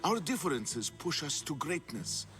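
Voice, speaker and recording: Game role: nervous artist in hiding, earnest, bright tone swinging widely, faint music underneath. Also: male voice, on the high side, big pitch swings, unhurried. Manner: agitated, earnest. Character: anxious, fearful male artist on the run. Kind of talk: video game cutscene acting.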